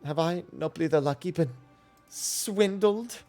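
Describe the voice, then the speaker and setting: A man voicing a boastful, smooth-talking merchant from a video game, with florid sales patter. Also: fairly high pitch, unhurried, darkish tone, soft background music, pitched above the performer's own voice. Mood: dismayed. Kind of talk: game character dialogue read aloud.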